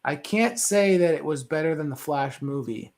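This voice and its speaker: A man's voice: a little high, moderate pace. A man co-hosting a movie discussion show over a video call in casual conversation. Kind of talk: casual chat.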